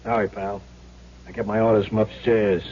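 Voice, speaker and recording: An actor playing a stern, unbending casino boss in an old radio drama, heard on a muffled, noisy recording. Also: male voice, on the low side, level tone, normal speed, darkish tone, natural voice. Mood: stern, cold.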